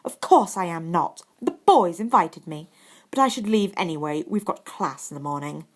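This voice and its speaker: A woman's voice: fairly low pitch, expressive, normal speed. Woman as young schoolgirl, sugary, whiny, haughty, storybook character.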